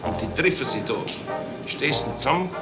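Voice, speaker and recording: Guy acting a polite earnest gentleman, old radio play, echoey with loud music behind. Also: medium pitch, animated, fairly quick, lightly acted. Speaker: actor. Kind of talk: 1970s German radio drama acting.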